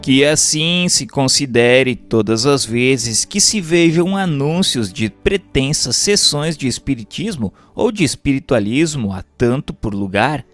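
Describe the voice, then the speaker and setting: A man's voice: mid-range voice, expressive, measured pace, few pauses, fairly bright tone, faint music behind. A man with a Brazilian accent reading a doctrinal text aloud as a narrator, in a formal, didactic way. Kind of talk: scripted audiobook-style narration of a doctrinal text.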